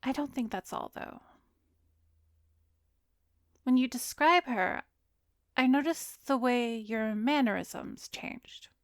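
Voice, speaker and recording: A woman playing a composed, soothing therapist in an ASMR roleplay, speaking gently and measuredly, often slipping into a breathy whisper, close to the mic. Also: fairly high pitch, no echo. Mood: probing, insinuating. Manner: measured, gentle, professional, probing. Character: composed, soothing female therapist, secretly an arrogant vampire. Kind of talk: ASMR roleplay, addressed to the listener. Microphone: close studio microphone, dry.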